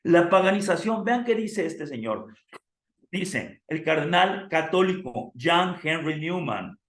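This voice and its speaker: A hoarse man with a somewhat high voice lectures a theology class. He asks his listeners questions and calls them "amados" like a preacher. His voice rings around an echoey room. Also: lively intonation, slow pace, a bit bright. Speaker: theology teacher.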